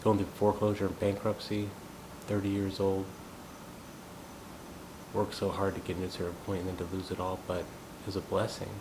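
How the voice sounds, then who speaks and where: Low, flat-voiced man musing aloud. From United States. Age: 30s. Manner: thoughtful, unhurried, musing aloud. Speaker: gong practitioner sharing thoughts. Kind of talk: reflective personal monologue. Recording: steady low rumbling background noise. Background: rumbling noise.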